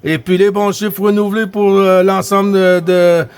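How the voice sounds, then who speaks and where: A man with a Quebec French accent chatting casually as a CB radio operator, halting and often repeating his phrases. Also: sits high, little pitch movement, clean tone. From Quebec, Canada. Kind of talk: casual radio chat with greetings.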